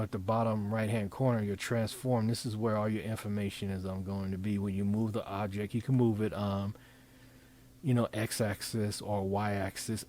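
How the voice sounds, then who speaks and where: A man talking through an informal software demonstration, improvising in a halting way as he thinks aloud and repeats phrases. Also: lowish voice, slight background noise. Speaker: presenter demonstrating design software. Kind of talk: informal screen-recording tutorial, improvised.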